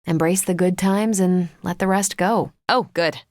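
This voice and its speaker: A woman acting as a battle-ready video game superheroine. Her voice sits on the low side and is recorded close and dry. She is loyal and self-assured, with a wry, teasing edge to her delivery. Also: fairly even intonation, measured pace, darkish tone, no room sound, natural voice. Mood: wry, casual.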